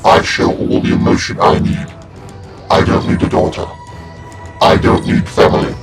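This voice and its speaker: An actor playing a cold, emotionless metal cyborg soldier in an audio drama, with a robotic effect and a stilted, menacing delivery. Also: male voice, normal speed. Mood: cold defiance. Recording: loud electronic music over voice.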